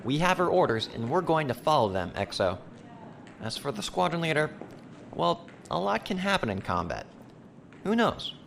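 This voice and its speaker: A man's voice: on the high side, big pitch swings, normal speed, vocal fry, fairly bright tone, slight background noise, full character voice. A man playing a dutiful, resigned starship captain with an understated manner in an audio drama. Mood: resigned, calm.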